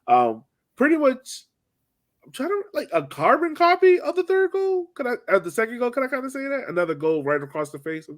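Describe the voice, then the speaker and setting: A man's voice: very high voice, wildly swooping pitch, fairly quick. Man chatting casually, slangy and loose. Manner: informal, slangy, filler-heavy with frequent "like". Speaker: soccer podcast co-host. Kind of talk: casual chat, sports match recap.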